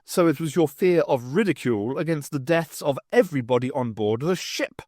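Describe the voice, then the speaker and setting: A man's voice: fairly high pitch, animated, brisk, a little mellow, no room sound, clean studio sound. A man voicing an exasperated, scornful male professor in an audiobook, brusque and sneering.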